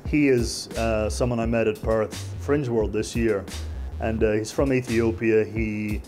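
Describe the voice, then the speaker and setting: Forties man from Ireland, low, talkative interview; music bed. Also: steady tone, unhurried, darkish tone. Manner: chatty, rambling. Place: indoor venue hallway.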